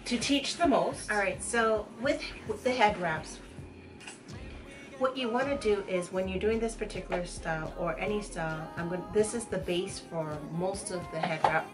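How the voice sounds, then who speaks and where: A young woman from Uganda giving a casual tutorial as a guest, explaining in a halting, conversational way. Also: medium pitch. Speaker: guest head wrap vendor giving tutorial. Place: small indoor room, sequin backdrop. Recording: music playing behind the voice.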